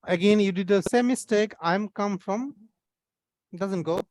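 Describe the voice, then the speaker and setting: A man's voice: high voice, measured pace, a little mellow, no echo. A man teaching an online English class, patiently correcting his students' grammar over a video call.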